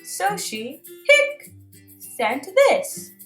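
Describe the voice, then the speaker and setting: A woman's voice: huge pitch swings, very slow, fairly bright tone, roomy sound, faint music behind, heavily acted. A woman voicing a sweet little girl monster in a storybook, tiny-voiced and interrupted by hiccups. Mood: sweet, hiccuping. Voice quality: tiny, squeaky high voice. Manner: hiccuping between words.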